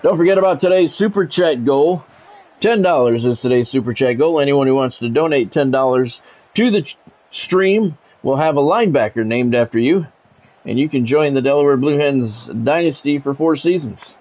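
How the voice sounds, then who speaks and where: A man's voice: medium pitch, normal speed, a little mellow. A middle-aged American man doing play-by-play of a football video game on a livestream, mixing polished, clipped announcer calls with casual chat to viewers.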